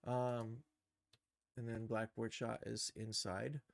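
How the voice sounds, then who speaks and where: A man thinking aloud through a casual live software tutorial, in an informal, musing, tentative manner. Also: medium pitch, level tone, slow, gravelly. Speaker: streamer teaching animation software.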